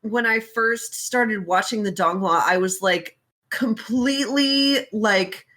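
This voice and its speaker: A woman's voice: medium pitch, takes it slowly, bright, forceful tone. A woman hosts a casual podcast chat. She talks informally and swears freely, with a giggly manner as she retells and comments on a story. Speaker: podcast host.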